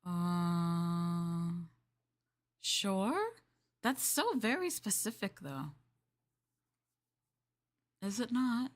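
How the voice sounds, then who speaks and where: A woman livestreamer in casual roleplay chat, playing a polite, chatty maid and often dropping into soft, breathy near-whispers. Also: medium pitch, very animated, very slow, pauses often. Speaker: VTuber livestream host.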